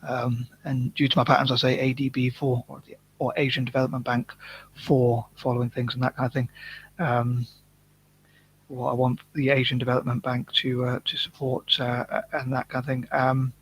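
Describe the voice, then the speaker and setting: A man talking in a rambling, self-conscious vlog monologue, from the UK, monotone and full of restarts and fillers. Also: medium pitch, normal speed, a little hiss or hum, poor audio. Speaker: vlogger.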